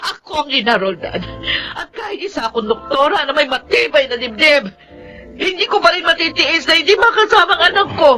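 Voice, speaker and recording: Casting: an anxious but defiant Filipino mom, melodramatic radio acting, high-pitched with big swings. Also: female voice, animated, fairly quick, pushed and bright, soft background music. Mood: tearful defiance. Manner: emotional, pleading. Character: worried, defiant mother, a doctor torn by family.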